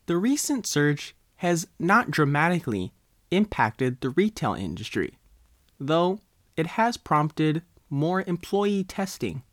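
A man with an American accent narrates a written news story as its reporter. His voice sits a little high and moves with lively intonation, recorded close and dry. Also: slow pace, no room sound. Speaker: reporter reading own article.

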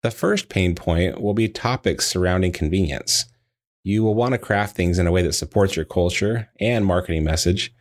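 A man narrating a scripted training lesson in a measured, explanatory way, as if reading from prepared text. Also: deep voice. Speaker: dental practice trainer.

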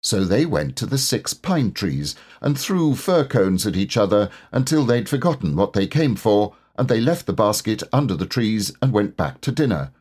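A man's voice: on the low side, big pitch swings, moderate pace, hardly any pauses, a little mellow, husky. A man reading a children's story aloud as scripted narration. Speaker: storybook reader, voice actor.

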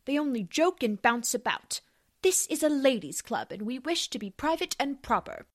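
A woman's voice: high voice, lively intonation, normal speed, no echo, clean studio sound, voice pushed up. A woman voicing a prim, elegant young girl who speaks in a prissy way.

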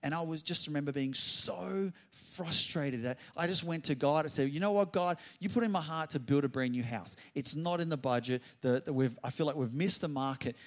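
A middle-aged man delivers a sermon in a slightly raised voice. He tells his story in a lively, conversational way. He then swells into loud, fervent exclamations. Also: a little high, a little fast, hardly any pauses. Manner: animated, builds to loud exclamations. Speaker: pastor.

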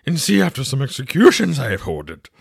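A man voicing a furious, tyrannical Queen in a raspy, strained falsetto, barking loud, slow commands. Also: huge pitch swings, no room sound, studio quality, full character voice. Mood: imperious. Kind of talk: audiobook character voice.

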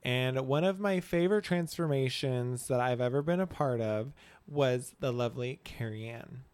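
Man, salon podcast co-host, casual chat, teasing, mid pitch. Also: normal speed, darkish tone, clear, resonant tone. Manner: playful, teasing, chatty.

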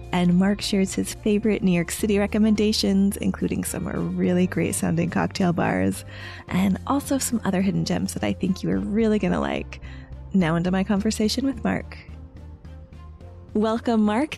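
An American woman hosting a podcast, introducing and interviewing a guest in a friendly, measured way. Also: medium pitch, expressive, vocal fry, warm tone, soft background music. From United States. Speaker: podcast host.

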